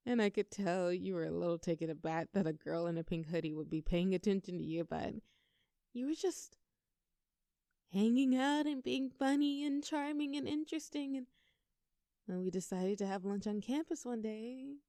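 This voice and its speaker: Casting: young woman acting as a doting, teasing girlfriend for intimate audio roleplay; mellow tone, very dry close sound. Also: mid-range voice, normal speed, clean tone, no room sound, close to an everyday voice. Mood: tender, reminiscing. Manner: playful, coaxing, talking tenderly to a partner.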